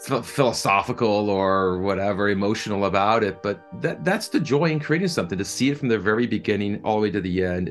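A man being interviewed as a producer, talking earnestly and enthusiastically, often repeating words as he searches for his phrasing. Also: on the low side. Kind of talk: reflective interview talk.

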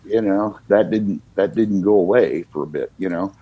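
Husky, low-voiced older man reminiscing. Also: normal speed, dry recording, narrow, tinny audio. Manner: reflective, leans on "you know" fillers. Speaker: guest, singer-songwriter. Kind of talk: relaxed conversational storytelling.

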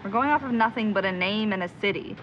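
A woman's voice: medium pitch, fast, close to an everyday voice. A woman playing a headstrong, exasperated young amateur sleuth in an audio drama, with slight noise on a muffled recording. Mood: exasperated, determined. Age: young adult. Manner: defiant, exasperated.

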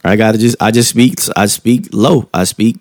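A man with a low, mellow, soft-spoken voice talks casually and calmly, thinking aloud, rephrasing as he goes and checking in with the listener in informal slang. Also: dry recording, studio quality. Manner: calm, informal slang, halting rephrasing with frequent tag questions. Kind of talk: casual reflective monologue.

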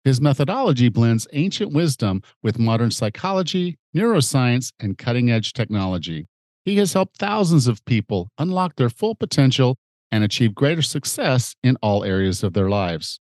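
A man's voice: medium pitch, sing-song, moderate pace, darkish tone, no room sound, clean studio sound. A middle-aged man with a slightly nasal, stuffed-up voice hosting a conversational podcast. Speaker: podcast host and fitness coach.

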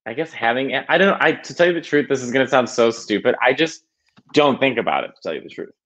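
A man as a podcast guest, talking casually in a halting, self-conscious way with many restarts. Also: mid-range voice, expressive, a bit bright. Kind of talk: casual interview chat.

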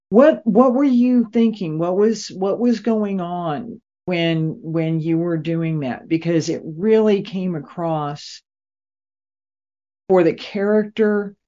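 Female host, lowish voice, slow halting delivery, conversational interview style, slightly muffled recording. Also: a little mellow. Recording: dull lo-fi audio.

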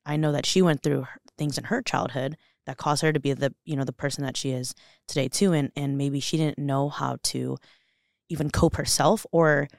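A young woman talks openly on a podcast, her voice catching in a gravelly fry. She circles back, restarts, and fills gaps with "like" and "you know." The sound is dry and close. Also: low-pitched, fairly even intonation, normal speed, no echo. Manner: earnest, emotional, many fillers and restarts. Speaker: podcast guest. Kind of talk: candid interview conversation.

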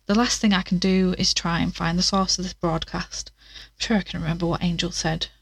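A woman's voice: lowish voice, fairly even intonation, a little fast, creaky, slightly rough, dry recording. A woman playing a plucky, curious investigator in an audio drama, chatting to listeners with wry asides as she searches. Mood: worried.

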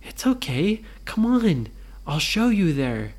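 A woman's voice: fairly low pitch, big pitch swings, slow pace, a little mellow, heavily acted. A woman voicing a brave, friendly little girl in a children's bedtime-style story. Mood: reassuring.

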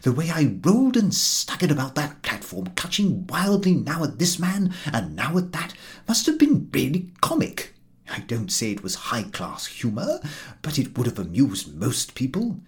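A man's voice: mid-range voice, wildly swooping pitch, normal speed. A man voicing a baffled, indignant Englishman airing a comic grievance in an audiobook, in an exclamatory, aggrieved way. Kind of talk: audiobook character dialogue.

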